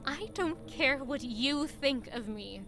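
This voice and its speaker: A woman acting a guarded, earnest young traveler with quiet defiance in an audio drama. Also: high-pitched, expressive, takes it slowly, music in the background, full character voice. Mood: defiant, curt. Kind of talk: audio drama acting with first-person narration.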